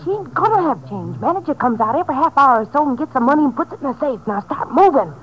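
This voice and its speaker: A tough young Texas bandit woman with a high voice and a Southern drawl. She talks in bossy, hard-boiled slang. The old radio recording is dull and faintly noisy. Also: very animated, fast talker. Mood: impatient. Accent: Southern American. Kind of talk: 1950s radio drama acting.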